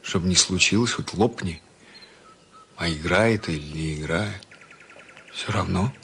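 A man playing a kind, concerned young man, narrating a children's story in an expressive, conversational way. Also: deep voice, normal speed, slight background noise. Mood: thoughtful, concerned. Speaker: narrator and lead actor.

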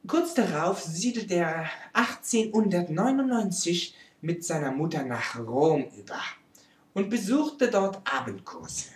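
Woman playing a pompous French artist, swooping delivery. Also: on the low side. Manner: pompous, theatrical, with broken German grammar. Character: vain, theatrical French male artist and poet, Luc Pierre. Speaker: presenter. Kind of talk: comic in-character art history lecture. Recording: roomy, echoing amateur recording.

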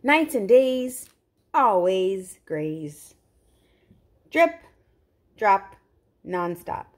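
A woman's voice: medium pitch, sing-song, drawn-out words. A woman reading a picture book aloud to young children, expressively, with simple explanatory asides. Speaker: children's read-aloud host.

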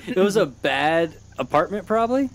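A man's voice: high voice, lively intonation, moderate pace. A young man making casual conversational remarks as a podcast co-host. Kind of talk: casual chat.

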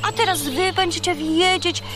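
A woman's voice: extremely high pitch, fairly even intonation, moderate pace, very echoey, big-room sound, music in the background. A woman playing a bossy, chatty, boastful seagull guide in a children's audio drama. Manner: brash, chattering, exclamatory.

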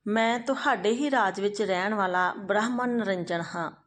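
A woman's voice: mid-range voice, moderate pace, natural voice. A woman storyteller voicing a humble but bold Brahmin man, respectful and firm as he reasons in a folk tale.

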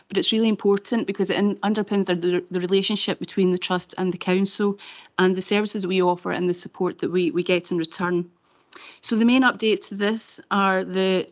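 A woman presenting a report at a council meeting, measured and formal, with frequent ums and restarts, speaking at a desk microphone in a council chamber. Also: mid-range voice. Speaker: leisure trust officer presenting a report.

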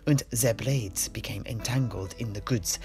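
A man playing a seasoned adventurer who recounts fantasy tales in deliberate, dramatic phrasing. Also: fairly low pitch, close to an everyday voice. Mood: measured, dramatic. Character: weary male adventurer from a fantasy Italian city, recounting tales. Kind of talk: in-character fantasy storytelling. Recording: music behind the voice.

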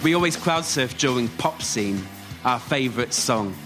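A man performing a scripted autobiographical story live, delivered in measured short phrases. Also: medium pitch, animated, loud music behind the voice. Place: radio theatre stage, before a live audience.

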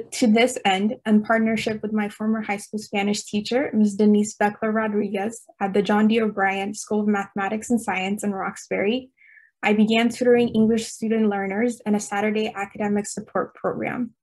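A woman's voice: mid-range voice, darkish tone, poor audio. A young woman from Ethiopia reading a prepared speech steadily and earnestly on a video call. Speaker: student panelist, tutor. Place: home room on a video call.

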